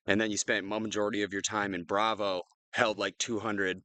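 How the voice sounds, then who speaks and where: A man's voice: fairly low pitch, flat delivery, a little fast, pushed and bright, no room sound. A young man from Flint, Michigan, casually telling crude jail stories and laughing as he mimics people. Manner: profane storytelling, laughs while doing voices. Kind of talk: casual chat.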